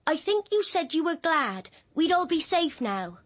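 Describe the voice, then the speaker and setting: An actress playing an anxious, coaxing young daughter in a radio drama. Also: female voice, very high-pitched, very animated, unhurried, full character voice.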